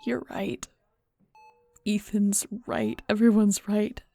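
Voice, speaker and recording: A hurt, stubborn female werewolf alpha played by a woman in an audio drama, her voice full of creaky fry. She confesses haltingly and emotionally, doubting herself, recorded close and very dry. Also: mid-range voice, flat delivery, dark tone, dry recording. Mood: scared, vulnerable. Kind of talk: audio roleplay drama acting.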